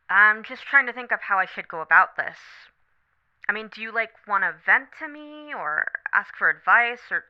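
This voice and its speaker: A woman playing a supportive, candid best friend on a late-night call in an ASMR roleplay, talking casually, gently and thoughtfully. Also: mid-range voice, smooth, muffled recording, natural voice. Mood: caring, thoughtful. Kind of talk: scripted ASMR roleplay, one side of a phone conversation.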